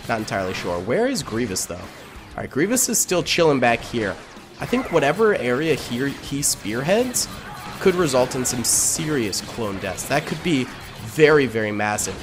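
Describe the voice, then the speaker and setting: Young man with a sick, worn voice. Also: mid-range voice. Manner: upbeat, chatty, talks to viewers. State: sick, partly lost voice. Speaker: gaming channel host. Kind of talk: casual gameplay commentary.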